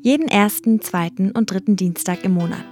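A woman's voice: medium pitch, a little fast. A woman reading a scripted radio promo for a magazine show. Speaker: announcer. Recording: voice over music bed.

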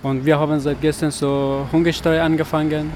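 A man from Afghanistan speaking haltingly at a street protest, often pausing to search for words. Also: a little high, flat delivery, background noise. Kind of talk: street interview. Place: outdoors on a city street.

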